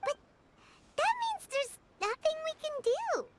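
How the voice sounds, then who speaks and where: A woman voicing a tiny, childlike, excitable fairy companion in an anime game, with a squeaky voice. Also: unhurried, slight background noise, exaggerated voice. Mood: concerned, puzzled. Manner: squeaky, childlike, speaks of herself in third person. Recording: loud music behind the voice.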